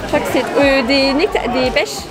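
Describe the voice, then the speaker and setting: A woman's voice: high voice, moderate pace, a loud crowd, rough recording. A young woman chatting casually in a travel vlog while walking through city streets, with lots of hesitations. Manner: chatty, many fillers and repeats. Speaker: travel vlogger. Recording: music playing loudly behind.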